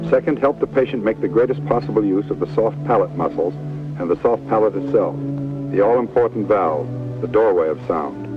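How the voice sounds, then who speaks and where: A man's voice: on the low side, close to an everyday voice. An actor narrating a 1950s television medical drama as a sober, authoritative man with terse, solemn phrasing. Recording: tinny old TV soundtrack, music behind.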